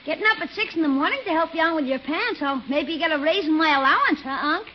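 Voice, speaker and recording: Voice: high-pitched, animated, quick pace, a little hiss or hum. A performer playing a cheeky, wisecracking young boy in a 1940s radio comedy. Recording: dull 1940s radio recording.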